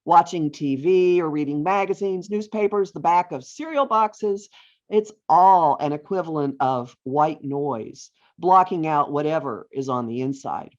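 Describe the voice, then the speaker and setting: A middle-aged or older woman reading her own humorous essay aloud with deliberate phrasing and wry emphasis, as an author on a video call. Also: deep voice, a little mellow. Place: virtual author talk over video call. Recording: dull, lo-fi online call audio.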